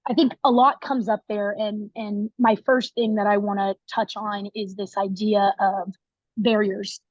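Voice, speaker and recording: A woman's voice: medium pitch, level tone, measured pace, no echo, highs cut off. A young woman hosting a casual video interview, upbeat and chatty with plenty of fillers. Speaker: interview host.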